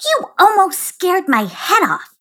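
A whiny, excitable little girl with a squealing voice. She swings all over a very high register and drags out her complaints slowly. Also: exaggerated voice. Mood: startled. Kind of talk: storybook reading.

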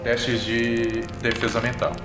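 A man's voice: mid-range voice, little pitch movement, takes it slowly. A man narrating a tabletop role-playing game as the game master. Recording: roomy echo, music underneath.

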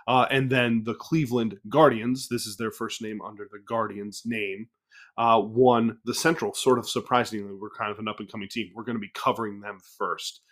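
A man's voice: fairly low pitch, steady tone, normal speed, fairly bright tone. A man hosting a baseball podcast, recovering from a cold, recapping games in a loose, rambling way full of fillers and restarts. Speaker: podcast host. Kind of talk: casual baseball recap talk.

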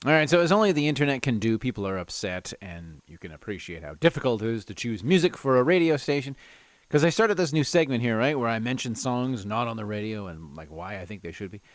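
A middle-aged man, hosting a solo podcast with casual, animated, self-amused stories and rants. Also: medium pitch, quick pace, dry recording. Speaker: podcast host. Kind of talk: casual solo storytelling and ranting.

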